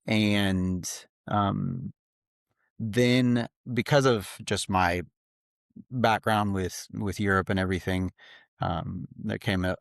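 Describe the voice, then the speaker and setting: A man's voice: low-pitched, no echo, clean studio sound. A man, a podcast guest talking earnestly in his home office, with many fillers. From grew up in Slovakia. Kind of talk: casual interview talk.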